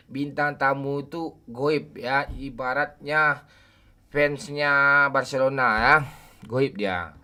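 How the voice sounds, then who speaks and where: Bright-voiced man in his forties streaming. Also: medium pitch. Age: 40s. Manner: joking, teasing banter with chat. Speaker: game livestreamer. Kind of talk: casual livestream chat, greeting viewers. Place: home room at night.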